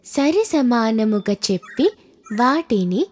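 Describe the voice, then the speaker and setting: A woman narrating a folk tale for a children's cartoon in a slow, singsong storytelling manner. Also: a little high, animated, darkish tone.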